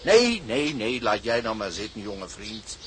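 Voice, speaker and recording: Pompous, animated sea captain. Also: male voice, lowish voice, measured pace, background noise. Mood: genial. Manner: pompous, formal, declamatory when dictating. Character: formal, kindly older sea captain with earnest pomp. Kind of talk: Dutch radio drama acting.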